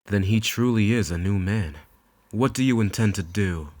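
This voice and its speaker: A man voicing a calm, stoic cartoon detective teammate, terse and serious, in a comic dub. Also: on the low side, normal speed, natural voice. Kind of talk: animated comic dub acting.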